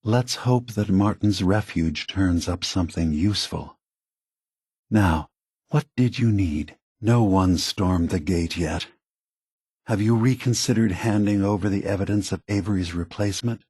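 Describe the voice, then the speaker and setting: A weary machine leader from a video game, who longs for peace. He speaks very slowly and gravely in a low, husky voice. Every thought is measured and deliberate. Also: darkish tone, no echo, clean studio sound. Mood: calm, deliberate. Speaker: game character. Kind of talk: scripted video game dialogue.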